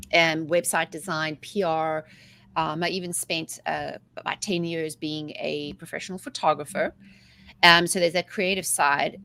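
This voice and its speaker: A woman in her forties with a South African accent, a guest coach telling her story in a chatty, energetic way. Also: fairly low pitch, vocal fry. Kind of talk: casual interview talk.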